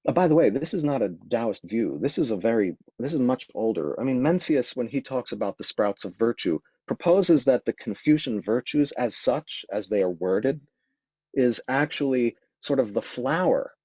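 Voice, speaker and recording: A man's voice: mid-range voice, lively intonation, normal speed, a little mellow, slightly rough, dry recording. A man giving an informal lecture on Taoist medicine. He has a cold and sniffles, and he talks thoughtfully with frequent ums and restarts. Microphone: microphone. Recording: dull, dry lo-fi sound.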